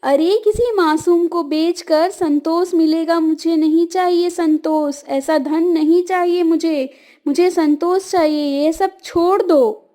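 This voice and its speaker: Woman playing a high-voiced scolding village wife. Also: fairly even intonation, slow pace, dark tone. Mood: insistent. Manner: nagging, reproachful. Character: scolding, kind-hearted village hunter's wife.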